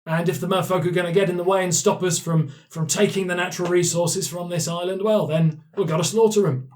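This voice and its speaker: A man's voice: high-pitched, steady tone, a little fast, a little mellow, voice pushed up, close to an everyday voice. A man voicing a simple, talkative vampire guard in a tabletop game, blustering yet chatty and easily swayed. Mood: matter-of-fact menace. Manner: blustering, then chatty and hesitant.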